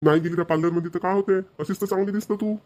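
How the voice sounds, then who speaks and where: A harried young cartoon husband, acted by a man, rattles on quickly in a rather high male voice. His Vidarbha Marathi speech is scolding and exasperated. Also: steady tone, a little mellow, natural voice. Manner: nagging, complaining. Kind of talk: amateur cartoon voice acting.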